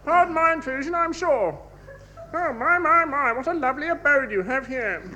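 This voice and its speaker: A man's voice: very high voice, expressive, moderate pace, slight background noise, heavily acted. An actor playing a meek, apologetic, over-polite male troublemaker in a radio comedy sketch. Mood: meekly courteous. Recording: loud studio audience behind.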